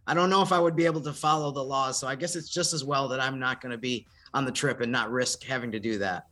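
A man giving conversational sports analysis on a podcast in a measured, explanatory way with careful qualifications. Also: medium pitch, steady tone, bright, forceful tone. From northern United States.

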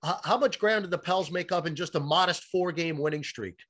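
A man's voice: fairly high pitch, fairly quick, a bit bright, a little hiss or hum. A man who works as a sports announcer, giving emphatic, opinionated conversational commentary on a podcast. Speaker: radio play-by-play announcer, podcast guest.

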